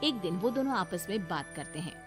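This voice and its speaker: A woman's voice: lowish voice, animated, brisk. A woman narrating a scripted moral story as the storyteller. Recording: music bed behind voice.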